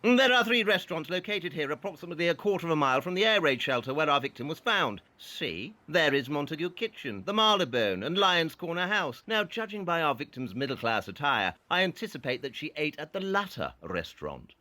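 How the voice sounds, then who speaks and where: A man's voice: a little high, sing-song, a little fast, few pauses, sharp tone. An actor playing a brusque, impatient, commanding male detective in an audio drama, curt and precise. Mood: urgent, keen.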